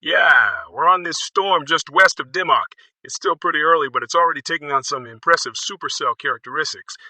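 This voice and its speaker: Man as a keen, composed storm spotter: very sharp, bright voice, dry sound, technical live reporting. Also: fairly high pitch, fairly quick, no echo, rough recording. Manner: technical, observational.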